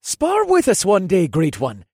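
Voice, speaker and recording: A man's voice: high voice, sing-song, normal speed, no room sound, clean studio sound, voice pushed up. A man voicing eager, loyal young soldiers in a fantasy audiobook, with a hearty, earnest and emphatic delivery. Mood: cheerful, eager.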